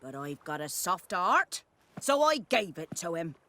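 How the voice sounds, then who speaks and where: An actress playing a chatty, indignant old peasant granny in a fantasy video game, with a rural British accent and a rambling, folksy way of telling things. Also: female voice, lowish voice, big pitch swings, unhurried, sharp tone, full character voice. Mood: rambling, animated. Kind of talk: video game voice acting, storytelling.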